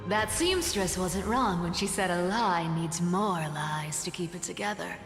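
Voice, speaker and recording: An actress voicing a sly, playful young demigoddess of trickery in a game, with a taunting, expressive delivery. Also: female voice, on the low side, unhurried. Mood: scheming, confident. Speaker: voice actor in a game. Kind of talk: dramatic game dialogue. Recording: reverberant hall with music underneath.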